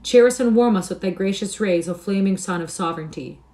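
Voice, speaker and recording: A woman's voice: on the high side, animated, moderate pace, mellow, voice pushed up, lightly acted. A woman voicing a mocking male tinker in a storybook, exclaiming and pleading theatrically in archaic speech. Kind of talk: storybook character reading.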